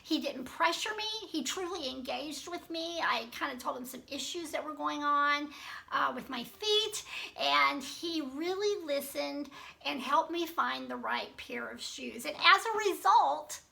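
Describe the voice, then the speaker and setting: A woman leadership coach giving a recorded teaching talk, her voice gravelly from allergies, earnest and steady, laying out points in lists with frequent ums. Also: high voice, unhurried.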